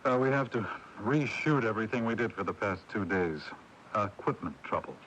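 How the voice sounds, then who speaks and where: An actor playing a harried, bossy film director in a 1980s radio drama. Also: male voice, lowish voice, expressive, a bit bright. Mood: troubled. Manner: brisk, bossy, exasperated. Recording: noisy 1980s radio broadcast taping.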